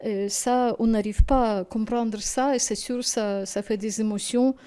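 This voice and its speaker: A tired woman with a Ukrainian accent and a native Russian speaker's French, her voice mellow and pitched a little high. She talks haltingly and emotionally, searching for words after sleepless nights. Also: fairly even intonation, clean tone. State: exhausted and sleepless. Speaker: studio guest. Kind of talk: television interview.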